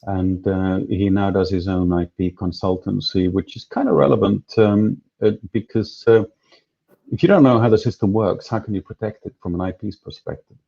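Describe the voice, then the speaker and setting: A man speaks in a low, dark, gravelly voice with some huskiness. He talks casually and hesitantly, piling up fillers and restarts. The sound is muffled, like a lo-fi video call. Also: fairly even intonation, normal speed. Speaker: event organizer. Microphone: headphones on a video call.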